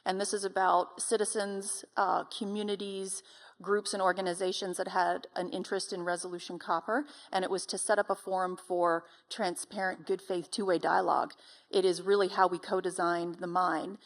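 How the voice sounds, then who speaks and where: A woman's voice: lowish voice, little pitch movement, moderate pace. A woman explaining things as a company representative in a panel discussion.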